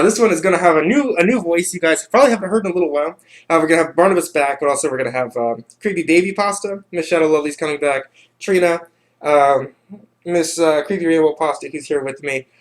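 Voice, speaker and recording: A man hosting a livestream in casual chat, halting and often repeating his words. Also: a little high, lively intonation. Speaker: livestream host.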